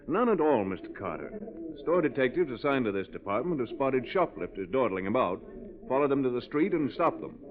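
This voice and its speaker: An actor playing a proper, guarded department store manager in a 1940s radio drama, speaking formally with slight hesitation. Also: male voice, medium pitch, sing-song, fairly quick, slight background noise, lightly acted. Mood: guarded, helpful. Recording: tinny, band-limited old radio recording.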